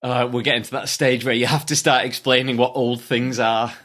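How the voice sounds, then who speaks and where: A man's voice: medium pitch, little pitch movement, a little fast, fairly bright tone. Upbeat British male podcast host. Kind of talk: podcast intro and interview.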